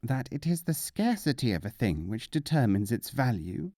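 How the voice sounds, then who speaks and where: A man's voice: mid-range voice, sing-song, normal speed, mellow, no room sound, clean studio sound. A man voicing a wry, self-assured wise-fool mullah in a storybook reading. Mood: wry, knowing.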